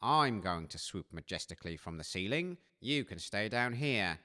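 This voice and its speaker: A man's voice: fairly low pitch, big pitch swings, normal speed, pushed and bright. A man playing a stern, vain railway master in an audio comedy, with a dry, fed-up, scolding manner. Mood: smug.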